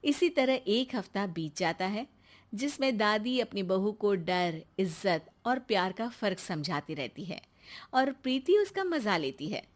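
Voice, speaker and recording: A woman's voice: mid-range voice, sing-song, a little slow. A woman narrator reading a scripted moral tale as storytelling.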